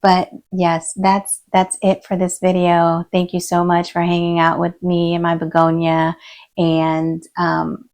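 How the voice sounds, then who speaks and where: A hoarse woman with a gravelly, low voice talks slowly and softly. She chats relaxed and unhurried, with plenty of little fillers. Her pitch stays flat and even. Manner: relaxed, soft-spoken, many fillers. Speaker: plant channel host. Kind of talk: casual vlog chat while repotting. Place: indoor plant room.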